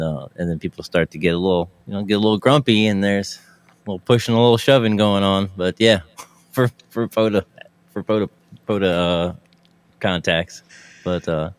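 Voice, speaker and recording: A man on a casual talk show panel, speaking haltingly and repeating phrases as he goes. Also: low voice, clean tone, no echo. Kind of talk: casual chat.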